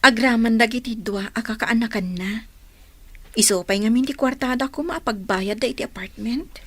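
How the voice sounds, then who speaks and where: A woman's voice: on the high side, moderate pace, close to an everyday voice. A woman acting as a sweet, obliging young bride and daughter-in-law in a radio drama. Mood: warm, concerned.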